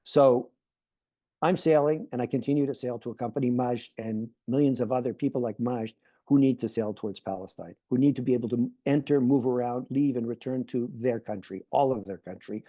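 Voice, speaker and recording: A man's voice: mid-range voice, fairly quick, dark tone, no echo. Earnest middle-aged man on a muffled video call. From Canada. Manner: earnest, repeats phrases while building points. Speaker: panelist, peace activist. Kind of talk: online panel talk.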